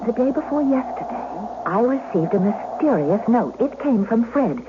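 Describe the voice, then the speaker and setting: A woman's voice: fairly high pitch, big pitch swings, moderate pace. An actress playing an anxious, pleading noblewoman in an old-time radio drama, with formal, beseeching diction. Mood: anxious recounting. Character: pleading, anxious noblewoman defending herself to jealous husband. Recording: dull, noisy old radio recording.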